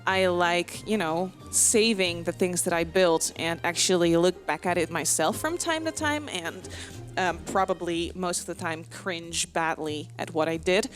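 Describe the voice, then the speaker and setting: A woman's voice: fairly low pitch, measured pace. Chatty young Dutch woman, giggly voiceover. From Netherlands. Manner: chatty, giggly, self-deprecating, with many fillers and restarts. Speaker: Sims speed build vlogger. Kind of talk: casual rambling voiceover. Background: soft background music.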